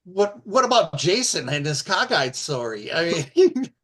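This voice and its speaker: A man's voice: fairly high pitch, very animated, measured pace, fairly bright tone. A man on a panel call, teasing the others in an amused way. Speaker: panel guest. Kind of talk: casual panel chat.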